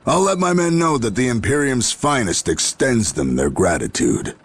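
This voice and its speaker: An actor playing a gruff, proud human military general in a video game, speaking formally and sternly. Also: male voice, mid-range voice, very animated, normal speed. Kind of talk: dramatic video game voice acting. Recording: music under the voice.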